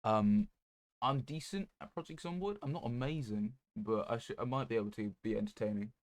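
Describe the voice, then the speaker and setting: A man chatting casually on a gaming livestream in a low-key, rambling, hesitant way. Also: fairly quick, no room sound. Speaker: video game streamer.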